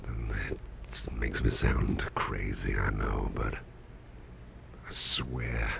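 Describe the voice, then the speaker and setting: A man performs an ailing, sleepy boss in an intimate ASMR roleplay. His voice is extremely deep and full of heavy vocal fry, drifting along in a breathy murmur. He rambles gently and hesitantly at a slow pace, repeating himself as he confides. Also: slight background noise, full character voice. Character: sickly, drowsy, sweet-tempered boss confiding in his employee.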